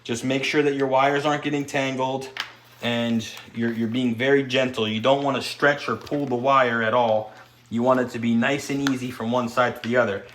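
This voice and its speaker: A man's voice: medium pitch, steady tone, moderate pace, a bit bright, a little hiss or hum. A man giving an unscripted step-by-step installation tutorial, talking as he works with his hands. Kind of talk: hands-on how-to demonstration, unscripted.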